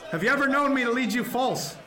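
A man's voice: very high-pitched, fast talker. An actor playing a defiant, commanding first mate in a radio drama, delivering earnest oratory to his crew. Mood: resolute. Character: defiant mutinous first mate, a commanding male ship's officer. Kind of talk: serial radio drama acting. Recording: noisy scene with loud crowd behind.

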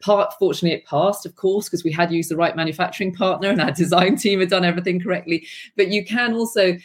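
Low, breathy-voiced woman co-founder. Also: fast talker. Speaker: small business co-founder. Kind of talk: interview answer.

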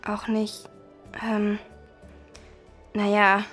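A woman's voice: mid-range voice, completely flat delivery, very slow, a bit bright, faint music behind. A woman playing a sympathetic, slightly hesitant young girl who talks casually in a children's audio drama. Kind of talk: German children's radio play acting.

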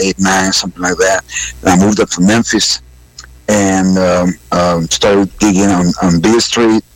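Male musician, lower register, hesitant with frequent restarts, dry close sound. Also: no room sound, poor audio. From Hungary. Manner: halting, many fillers and restarts. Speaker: blues musician guest. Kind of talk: radio interview.

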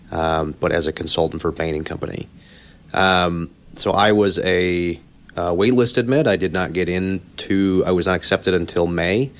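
A man from Ann Arbor with a very deep voice introduces himself, hesitating often. His pitch barely moves. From Ann Arbor, Michigan. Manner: hesitant, many fillers. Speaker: MBA student guest.

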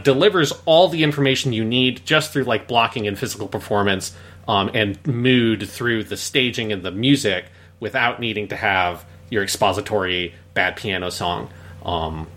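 A man's voice: fairly low pitch, moderate pace, a bit bright. Man analyzing in casual podcast talk. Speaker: podcast co-host. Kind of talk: casual review discussion.

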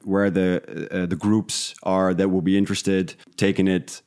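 A man from the Netherlands who grew up speaking talks in a fairly low voice. He is a guest in a relaxed interview, thinking aloud with some hesitation as he explains himself. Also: measured pace. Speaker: interview guest, independent podcast creator. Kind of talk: casual interview conversation.

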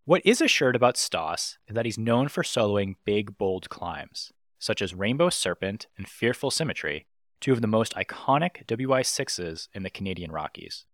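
A man's voice: on the low side, normal speed, no echo, studio quality. A man hosting a podcast, reading a scripted intro and then chatting playfully with his guest. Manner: animated, playful delivery. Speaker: podcast host.

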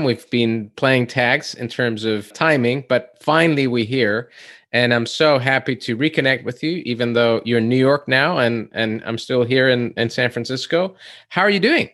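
A male podcast host with a medium-pitched voice introduces and questions his guest. His delivery is halting, full of small restarts as he feels his way through each sentence. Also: a bit bright. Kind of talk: interview podcast. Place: home studio in San Francisco.

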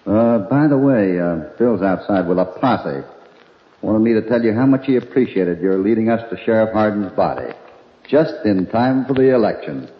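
An actor playing a calm, steady cowboy hero in a 1940s radio western, cool and dryly amused. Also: male voice, on the low side, moderate pace, natural voice. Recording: echoey muffled old radio recording.

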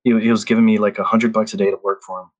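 A man's voice: on the low side, level tone, racing. A man in his thirties from the Philippines, telling stories in a casual chat with frequent restarts. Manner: rambling storyteller with frequent restarts and laughs. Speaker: podcast guest.

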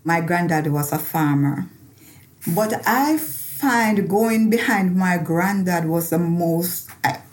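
A woman's voice: on the low side, a little mellow. A woman from Jamaica with a Jamaican accent, a farmer and researcher talking personally in an interview and repeating phrases for emphasis.

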